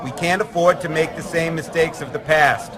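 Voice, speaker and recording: A man's voice: on the high side, fairly even intonation, moderate pace, recorded from a distance, crowd noise, lightly acted. An actor playing a male town mayor who makes formal speeches to the public. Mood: placating.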